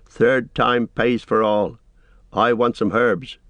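A man voicing a plain-spoken, stubborn hobbit servant in a storybook, with a gruff, homely, scolding manner. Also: medium pitch, fairly even intonation, takes it slowly, fairly bright tone, no room sound. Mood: homely, coaxing. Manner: gruff, homely, scolding, rustic turns of phrase.

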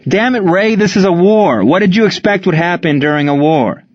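A man's voice: medium pitch, brisk, no room sound, highs cut off, close to an everyday voice. A man voicing a firm, plain-spoken baseball coach in a wartime audiobook story. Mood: forceful, exasperated. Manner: forceful, plain-spoken, barks orders. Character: commanding male wartime baseball coach, steady but firm.

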